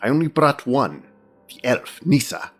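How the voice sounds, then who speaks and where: A man playing a fastidious, analytical senior inspector, speaking with precise, formal pomposity in an audio drama. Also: medium pitch, very animated, soft background music. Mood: defensive, exacting.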